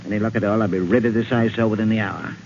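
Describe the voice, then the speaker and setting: An actor playing an irritable, blustering rich property owner in 1940s radio style, pompous and grumbling. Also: male voice, on the low side, steady tone, rapid-fire, a little mellow, close to an everyday voice. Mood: brisk, gruff. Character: irritable, blustering wealthy male owner of decaying mansion. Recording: muffled 1940s radio recording, faint noise.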